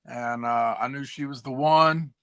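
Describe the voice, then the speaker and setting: A hoarse man, a musician guest chatting casually and laid-back on a video call. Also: mid-range voice, steady tone, unhurried, sharp tone. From Tennessee. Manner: laid-back, slangy, says "man" and "dude". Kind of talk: casual chat.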